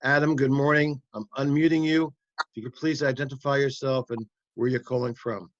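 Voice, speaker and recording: Guy running a video call, brisk, dry dull sound. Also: mid-range voice, little pitch movement, no room sound. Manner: brisk, apologetic, organizing. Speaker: host. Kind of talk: moderating a video call interview.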